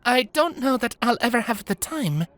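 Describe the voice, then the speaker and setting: A man voicing a polite, quietly mischievous young woman traveler who talks in a soft, courteous way, close to a dry microphone. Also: sits high, sing-song, normal speed, dry recording, voice pushed up. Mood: gentle. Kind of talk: storybook character voice.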